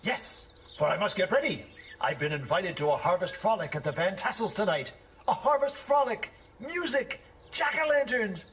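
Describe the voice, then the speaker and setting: An actor playing a fussy, lovestruck, pompous young schoolmaster in an audio drama, with theatrical, precise diction. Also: male voice, high voice, lively intonation, normal speed, a bit bright. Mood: excited. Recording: faint background noise.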